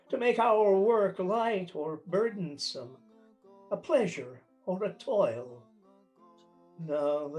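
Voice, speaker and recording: A man's voice: sits high, darkish tone. A man playing an old miser emotionally revisiting his past, exclaiming as he goes, in a radio play. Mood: earnest.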